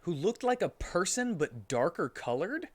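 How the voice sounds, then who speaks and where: A man reading amateur fiction aloud as a streamer, in a mock-serious, animated comic style. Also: high voice, measured pace, no room sound.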